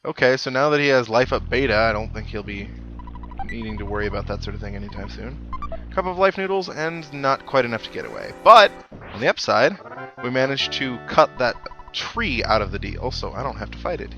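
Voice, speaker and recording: A man hosts a video game let's play in a casual, conversational way. His voice sits in a middle register, and he drops wry little asides as he comments. Game music plays clearly behind him. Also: mid-range voice, expressive, a little fast, a bit bright, highs cut off.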